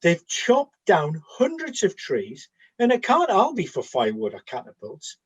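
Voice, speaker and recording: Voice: mid-range voice, huge pitch swings, a little slow, breathy edge, dull audio, exaggerated voice. An actor playing an observant, matter-of-fact Trojan soldier in a comic radio play, speaking conversationally. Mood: bored, musing.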